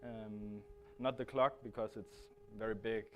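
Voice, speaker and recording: A man's voice: lowish voice, steady tone, a little slow, a bit bright, slight background noise. A man from Denmark in his thirties with a Danish accent, giving a presentation at a conference with many hesitant restarts. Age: 30s. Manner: hesitant, frequent restarts and fillers. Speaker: guest speaker.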